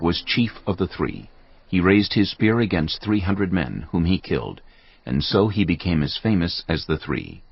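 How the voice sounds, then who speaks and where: A man with a gravelly, husky voice giving solemn, measured scripted narration of a dramatized Bible. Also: sits low, dry recording, highs cut off.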